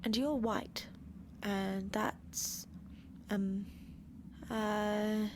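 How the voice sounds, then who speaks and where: A feeble, famished horse, talks very slowly in a breathy, plaintive half-whisper. It sounds vague and gentle, in a radio comedy with clear noise behind. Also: female voice, mid-range voice, steady tone. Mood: confused. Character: starved, feeble, dim but sweet-natured horse of Famine. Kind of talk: comic radio play acting.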